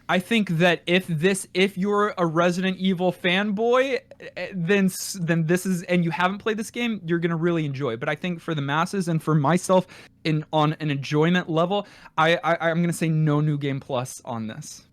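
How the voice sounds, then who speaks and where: Higher-voiced man, close dry sound. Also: moderate pace, no room sound. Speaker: podcast co-host. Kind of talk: casual video game review discussion.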